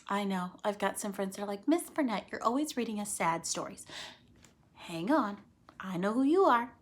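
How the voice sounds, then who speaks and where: A woman reading a children's story aloud dramatically, stretching key words and dropping into hushed, chatty asides. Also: mid-range voice.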